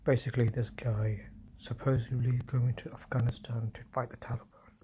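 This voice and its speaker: A man hosting a solo livestream, talking low and often whispering, with restarts and apologies as he reads and comments. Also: flat delivery, normal speed, noisy background. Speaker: livestream host. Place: indoors at home, quiet room. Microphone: phone.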